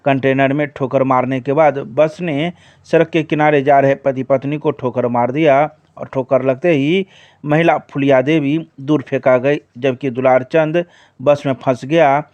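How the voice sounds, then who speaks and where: A man's voice: mid-range voice, darkish tone, no room sound, muffled recording. A man reading scripted local news reports as a news reader.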